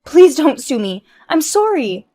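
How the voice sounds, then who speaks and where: A woman voicing Emma, an entitled young wife who dodges blame, in a shrill, whiny and indignant voice. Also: lively intonation, a little slow, darkish tone, no echo. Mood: panicked pleading.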